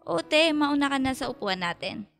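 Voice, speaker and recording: A young Filipina-accented woman, the loyal, pushy best friend in a radio drama. Her voice sits high and she talks in a chatty, matchmaking way. Light music plays underneath. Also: expressive, moderate pace, fairly bright tone. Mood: breezy, friendly.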